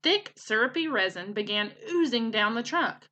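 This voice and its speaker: Animated, bright-voiced woman reading a storybook. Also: a little high, moderate pace. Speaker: teacher reading aloud. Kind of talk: animated children's storybook narration.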